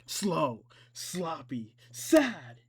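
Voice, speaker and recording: A man voicing a taunting, menacing film villain in a slow, deliberate, drawn-out way. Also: fairly high pitch, big pitch swings, breathy edge, faint music behind, exaggerated voice. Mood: mocking.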